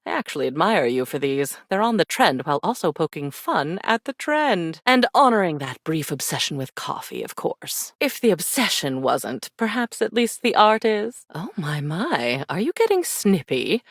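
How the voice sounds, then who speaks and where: Game role: mysterious macabre temptress, lowish and very dry-recorded, sly, teasing, grandly dramatic. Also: female voice, big pitch swings, moderate pace, hardly any pauses, no echo, exaggerated voice. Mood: displeased, snippy. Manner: theatrical, sly, flirtatious, darkly teasing, drawling endearments. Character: mysterious, devious, macabre-loving living memory, theatrical teasing woman. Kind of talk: video game character acting.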